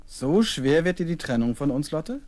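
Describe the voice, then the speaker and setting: A man playing a kindly doctor and father in a children's radio play, playfully courteous and gently teasing. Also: on the high side, animated, normal speed, natural voice. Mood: tender, teasing. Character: kindly fatherly doctor humoring his little daughter.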